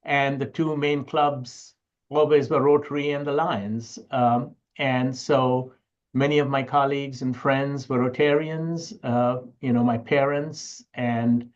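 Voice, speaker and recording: A middle-aged man speaks as an interview guest, in a conversational style. His voice sits at a medium pitch, and the sound is a little muffled and lo-fi. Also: fairly even intonation, slow. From Sri Lanka. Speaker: interview guest, university educator and researcher.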